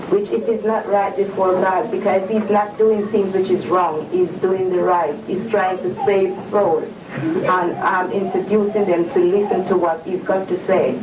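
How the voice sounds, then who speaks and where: Woman, fervent believer, firm delivery; distant, roomy archive audio. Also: medium pitch. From England. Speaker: interviewee, church member. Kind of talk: earnest testimony on video. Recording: dull, echoey room from far off, noisy.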